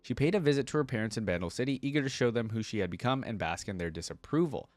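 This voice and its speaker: A man's voice: medium pitch, lively intonation, fast, a little mellow, no echo. A man reading a story aloud as a video host and reacting to it with informal, casual commentary.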